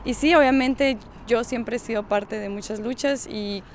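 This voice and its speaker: A woman's voice: a little high, unhurried, a little hiss or hum. A woman from the northern Mexican borderlands with a northern Mexican accent, speaking spontaneously as an activist interviewee and often feeling for her words. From Mexicali and the Sonora-California borderlands of northern Mexico. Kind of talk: spontaneous spoken testimony.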